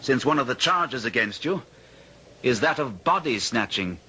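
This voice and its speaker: An actor playing a stern, accusing manor gentleman in a radio drama, speaking formally and with commanding deliberation. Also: mid-range voice, animated, normal speed, over loud music, natural voice. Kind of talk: old-time radio drama acting. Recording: noisy old radio drama recording.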